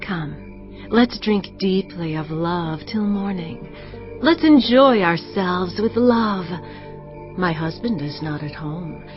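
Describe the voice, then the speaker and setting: Seductive, brazen temptress for scripture audio drama: very slow, coaxing, lowish voice. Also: female voice, animated. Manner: persuasive smooth talk, slow and coaxing. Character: seductive, brazen married temptress luring a youth. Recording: muffled, music prominent behind voice. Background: prominent background music.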